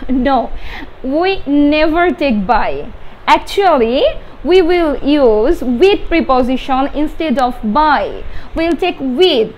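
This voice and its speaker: High-pitched young Bangladeshi woman teaching slowly. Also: low-quality recording. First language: Bengali. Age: young adult. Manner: halting, repetitive, emphatic on key words. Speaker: English grammar teacher. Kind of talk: whiteboard grammar lesson, explaining step by step. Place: small indoor room with whiteboard. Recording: steady background room noise.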